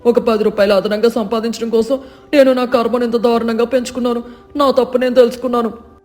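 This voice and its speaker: A man's voice: very high-pitched, little pitch movement, fast talker, darkish tone, soft background music. A man playing a greedy village food-stall owner turned repentant, earnest and theatrical, in a moral story. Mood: remorseful. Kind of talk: dramatised moral story narration.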